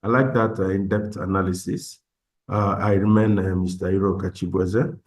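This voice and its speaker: A man's voice: sits low, steady tone, moderate pace. A man giving an unscripted comment as a session participant, hesitant and repeating words as he thinks.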